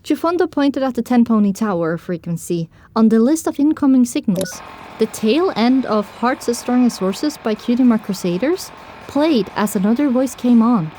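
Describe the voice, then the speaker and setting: A woman narrating as a young pony heroine, telling her own story in descriptive, expressive scripted audiobook style. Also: a little high, normal speed, a little hiss or hum, lightly acted.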